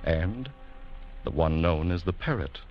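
A man narrating an old radio adventure drama in a grave, deliberate, portentous manner. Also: sits low, animated, slight background noise. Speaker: narrator and announcer. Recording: dull old radio recording, music behind. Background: dramatic music.

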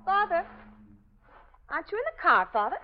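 A woman playing an anxious but stubborn young woman in a 1940s radio mystery, nervous and halting. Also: very high voice, big pitch swings. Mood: anxious, insistent. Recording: dull old radio broadcast recording.

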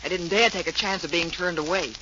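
A woman's voice: lowish voice, a little fast, natural voice. Woman acting hard-edged young showgirl, insistent, blunt, 1940s radio drama, dull old recording with hiss. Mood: worried, confiding. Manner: blunt, insistent, tough-talking. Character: brash, hard-edged young female showgirl, desperate and determined.

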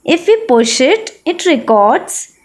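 An excited young girl from a children's storybook, acted out by a woman. Her voice is very high and swings up and down in a bubbly sing-song. She speaks slowly and cheerfully, like an elder sister at play. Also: heavily acted.

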